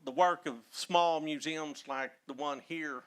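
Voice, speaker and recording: A man from north Texas with a Texan accent, a historian speaking as a guest and telling folksy stories with many pauses. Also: a little high, fairly even intonation, fairly bright tone, clean tone. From Texas, Dallas-Fort Worth area. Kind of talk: casual storytelling talk.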